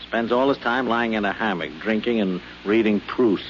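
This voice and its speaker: A man's voice: fairly low pitch, normal speed, close to an everyday voice. A man playing a worrying husband in a 1950s radio comedy, with an American accent and a stammering, exasperated manner. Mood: grumbling, worried. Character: flustered, worrying suburban husband and hobby tinkerer. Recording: noisy, muffled old radio recording.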